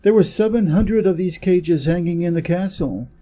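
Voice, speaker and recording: A man from Wisconsin with an American accent, reading a fairy tale aloud slowly and clearly for English learners. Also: high voice, mellow. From Wisconsin, USA. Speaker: reader. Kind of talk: storybook reading.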